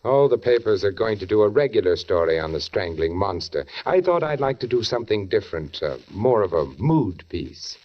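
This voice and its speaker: An actor playing an inquisitive, insinuating newspaper reporter in a 1940s radio drama, polite but hesitant and probing. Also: male voice, lowish voice, sing-song, normal speed, few pauses. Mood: polite, coaxing. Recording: dull 1940s radio recording.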